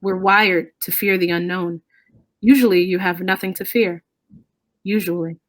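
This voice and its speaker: A woman's voice: fairly low pitch, fairly even intonation, moderate pace, no echo. A woman reading a ghost story aloud as dramatic scripted narration.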